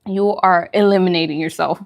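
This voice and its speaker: A woman's voice: lowish voice, fairly even intonation, a little fast, creaky, lo-fi sound. A woman hosting a food vlog, chatting casually to viewers in colloquial slang and repeating phrases for emphasis. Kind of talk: casual chat to viewers during a mukbang livestream.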